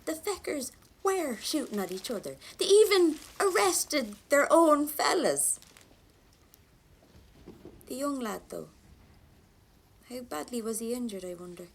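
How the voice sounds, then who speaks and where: An actress with an Irish accent playing a young, war-weary rebel woman in an audio drama, in a colloquial, expressive first-person style. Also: high-pitched, unhurried, long pauses, slight background noise, full character voice. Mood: wry, indignant.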